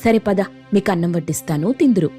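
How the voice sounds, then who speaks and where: A woman's voice: mid-range voice, expressive, fast talker, dark tone, faint music behind, lightly acted. A woman playing an anxious, jealous wife and elder sister who scolds and bosses in a family story. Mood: coaxing. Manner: scolding, suspicious.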